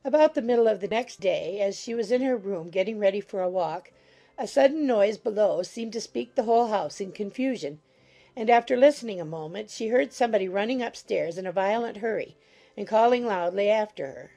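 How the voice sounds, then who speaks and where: A woman narrates literary fiction in a mid-pitched, mellow voice with noticeable creak. It is a scripted audiobook reading. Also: animated, fairly quick, soft background music.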